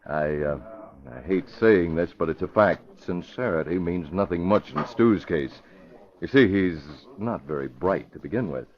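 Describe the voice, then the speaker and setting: An actor playing a composed young newspaper publisher in a radio drama, speaking in a frank, measured, conversational way. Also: male voice, low voice, natural voice. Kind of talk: 1940s radio acting. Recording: dull vintage radio broadcast recording.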